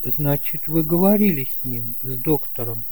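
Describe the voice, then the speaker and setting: Male actor as a polite young nephew; soft-toned, steady, soothing, for a homemade detective radio play. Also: on the high side, moderate pace, no room sound, natural voice. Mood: concerned. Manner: calm, courteous, reassuring. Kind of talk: amateur audio drama acting.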